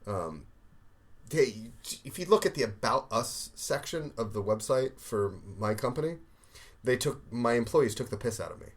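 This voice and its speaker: A man with an American accent, a podcast co-host telling stories in casual chat, with quick asides and frequent restarts. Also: mid-range voice, animated.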